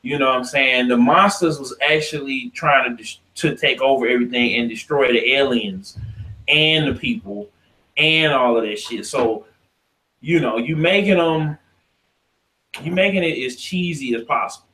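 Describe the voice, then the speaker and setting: Man with American accent for casual, sweary podcast rants; ordinary male pitch, roomy echoing sound. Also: moderate pace, bright, forceful tone. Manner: slangy, profane, ranting. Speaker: podcast host. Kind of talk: casual opinionated podcast talk. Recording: echoey untreated room.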